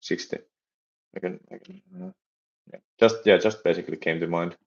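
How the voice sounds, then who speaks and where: A young man from Finland with a Finnish accent, chatting on a live stream and talking haltingly with many restarts and fillers. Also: deep voice, vocal fry, highs cut off. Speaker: livestreaming startup developer. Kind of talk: casual live stream chat.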